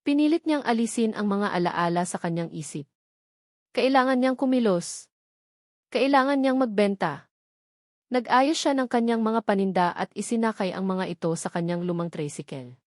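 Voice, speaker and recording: A woman with a Filipino accent narrates a dramatic romance from a script. Her pitch sits in the middle of the female range. The recording is close and dry, with very little room sound. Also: animated, normal speed, dry recording. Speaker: story narrator.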